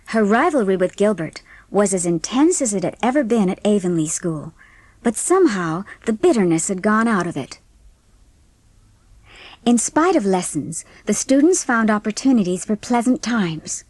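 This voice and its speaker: A woman's voice: medium pitch, very animated, normal speed, darkish tone, dry recording. A woman reading a classic novel as an audiobook narrator, in scripted storytelling style.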